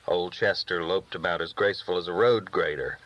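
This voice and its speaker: A man's voice: low voice, a little fast. A man reading a novel aloud as a first-person storyteller, with folksy rural phrasing, on a dull recording. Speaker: audiobook reader.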